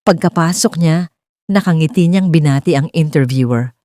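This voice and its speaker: A woman's voice: sits low, normal speed, mellow, soft background music. A woman narrating a scripted dramatic romance story.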